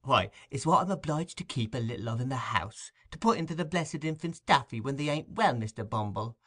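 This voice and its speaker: Man reader doing fawning scheming matron, high shrill put-on voice, wheedles and gushes. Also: expressive, fairly quick, a bit bright. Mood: coaxing. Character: fawning scheming middle-aged woman nurse.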